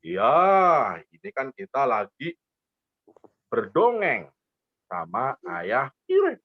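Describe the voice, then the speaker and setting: A man's voice: mid-range voice, wildly swooping pitch, extremely slow, no room sound, lo-fi sound. A man telling a story to young children in a playful, interactive way, repeating phrases and asking questions.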